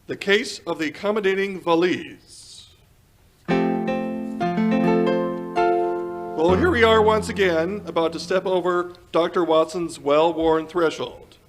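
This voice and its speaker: A man plays an old-time radio announcer from the 1940s. His voice sits a little high, with polished, emphatic phrasing and the eager push of a sponsor's pitchman. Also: normal speed, few pauses, over loud music, natural voice. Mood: showy, welcoming. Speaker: announcer and host.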